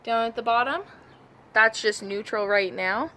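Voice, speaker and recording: A woman's voice: fairly high pitch, a little slow, sharp tone, a little hiss or hum. A woman giving a casual, chatty hands-on product demo, correcting herself as she goes. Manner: informal, chatty, self-correcting. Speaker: product reviewer.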